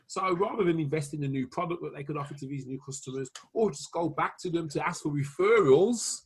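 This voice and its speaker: A man's voice: on the high side, fairly quick, husky. A man with a Welsh accent talking business in a casual video call, chatty, laughing often and dropping punchy asides. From Wales. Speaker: marketing consultant.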